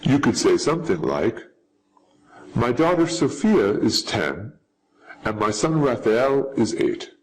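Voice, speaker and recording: A man reading model answers slowly and carefully in a scripted lesson. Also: mid-range voice, very animated, low-quality recording. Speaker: English teacher.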